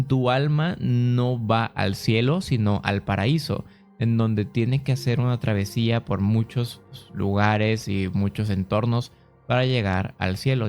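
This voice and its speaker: Casual explainer host: man, Mexican accent, fairly low voice, informal and conversational, light music bed underneath. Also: darkish tone. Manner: informal, conversational, addressing viewers directly. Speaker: video host.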